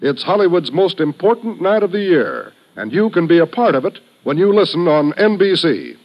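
High-pitched American vintage radio announcer man. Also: animated, moderate pace. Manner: grand, emphatic showman delivery. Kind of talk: 1950s radio announcing, scripted. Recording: dull vintage radio broadcast.

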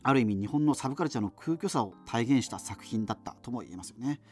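An actor playing a nostalgic, wry radio host from the future, rambling conversationally through a monologue. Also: male voice, medium pitch, animated, fast, a little mellow, lightly acted. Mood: musing, wry. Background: music.

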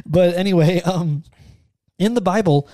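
A man co-hosting a casual faith podcast, talking earnestly with halting restarts. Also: high voice, dark tone, no room sound, studio quality. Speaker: podcast co-host.

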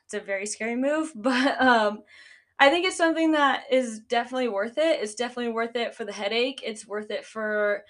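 A woman's voice: on the high side, a little fast, a bit bright. A young woman hosting her own podcast, talking casually about running a business, with a stuffy, nasal sound and lots of "like" and "um" fillers. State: congested, nasal. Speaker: podcast host, business owner. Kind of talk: casual solo podcast talk.

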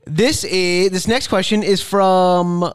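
Higher-pitched man, informal podcast host. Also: fairly even intonation, takes it slowly, clean tone, no echo. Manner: informal, slangy. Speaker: podcast host reading listener questions. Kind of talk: casual banter.

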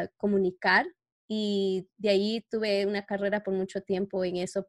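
A middle-aged woman who grew up in New York, talking as an interview guest. Her pitch sits in an ordinary range for a woman. She speaks reflectively and personally, often halting to find the right word. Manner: halting, searches for words in Spanish. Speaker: interview guest, educator for parents who are abuse survivors.

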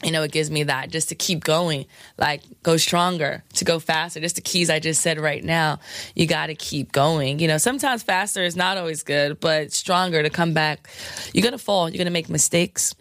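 A woman DJ with a scratchy, hoarse voice, chatting frankly in an interview with lots of fillers and laughs. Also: low-pitched, moderate pace, fairly bright tone, dry recording, clean studio sound. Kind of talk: candid casual interview talk.

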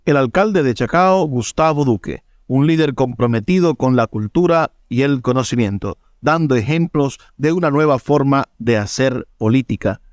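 A man's voice: mid-range voice, no room sound, studio quality. A man with a Venezuelan accent speaking as a radio host, presenting a programme and its sponsors. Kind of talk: radio show introduction and sponsor reads. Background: music.

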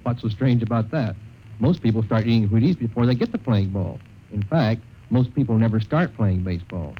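A man's voice: fairly low pitch, expressive, fairly quick, slight background noise, natural voice. A man playing an easygoing, folksy veteran ballplayer in a vintage radio ad. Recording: muffled old radio recording.

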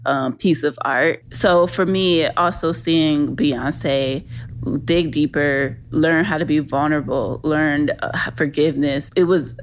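A woman's voice: sits low, fairly even intonation, slow pace, slight background noise. A woman leaving an earnest, reflective recorded listener message.

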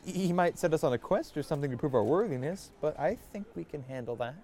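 A man's voice: a little high, animated, fast talker, warm tone. A man playing an earnest, hesitant young wizard scholar explaining things in a comedic fantasy audio drama. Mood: tentative.

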